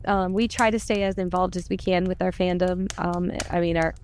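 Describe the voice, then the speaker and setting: A woman's voice: on the low side, steady tone, moderate pace, a little mellow, a little hiss or hum. A woman chatting casually and informally about a podcast project, in a gushing, talkative way. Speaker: podcast cast member and volunteer. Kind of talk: casual chat.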